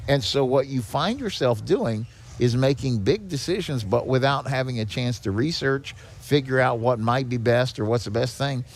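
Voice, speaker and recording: A man speaking as a charity director and podcast guest, explaining things in a measured, conversational way. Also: medium pitch, animated.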